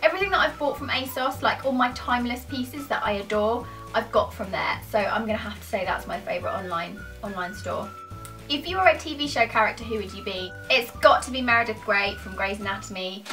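A woman's voice: on the high side, fairly quick, pushed and bright, distant mic, faint music behind. A British woman in her twenties chatting casually to camera in an informal, self-deprecating, rambling way. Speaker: YouTuber answering questions to camera. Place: small furnished room at home, indoors.

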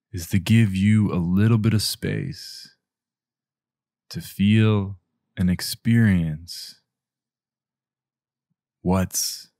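A man leading a guided meditation in a gentle, hushed delivery, leaving long pauses between short phrases. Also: sits low, no room sound, clean studio sound. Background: music.

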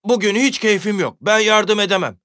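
A woman's voice: medium pitch, normal speed, fairly bright tone, close to an everyday voice. A woman voicing a lazy, carefree farm duck in a children's cartoon. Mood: unwilling. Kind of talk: children's cartoon fairy-tale acting.

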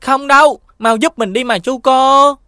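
A young boy, sulky, whiny, high pitch. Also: steady tone, a little slow, pushed and bright, no room sound, clean studio sound. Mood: boyish. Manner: whiny, pleading.

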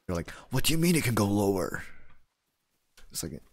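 A young man streaming a hunting game, chatting in a deadpan, rambling way from his home desk. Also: lowish voice, moderate pace, gravelly, no room sound. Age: young adult. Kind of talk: casual chat while gaming. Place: home room, seated.

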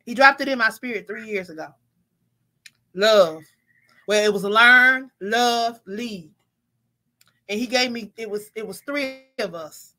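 Woman host, middle-aged, bright and a little high, preachy video-call talk. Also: unhurried, pauses often. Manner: preachy, restarts often, rising to exclaim. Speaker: podcast host. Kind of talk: casual faith-based panel talk. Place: home room on video call.

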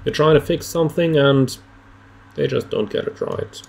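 A man's voice: fairly high pitch, steady tone, moderate pace, creaky. Clipped man working as air traffic controller. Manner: clipped, procedural. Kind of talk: radio phraseology with asides to viewers.

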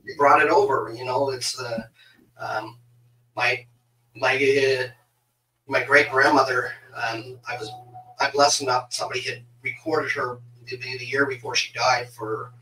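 A middle-aged man chats as a podcast host with a bright, forward voice. He speaks haltingly, doubling back on words. The sound is roomy and set back from the mic, as on a video call. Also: mid-range voice, steady tone, distant mic, slight background noise. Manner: halting, repeats words as he goes. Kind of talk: casual interview chat. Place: small home office on a video call.

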